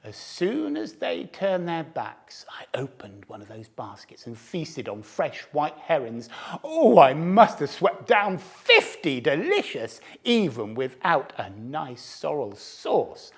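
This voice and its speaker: Sly bragging fox read by a guy, slow and savoring, voice goes up and down a lot. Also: on the high side, huge pitch swings, vocal fry, slightly rough, heavily acted. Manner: relishing, theatrical, savouring food words. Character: sly boastful old male fox storyteller. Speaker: storyteller to cubs. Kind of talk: storybook narration.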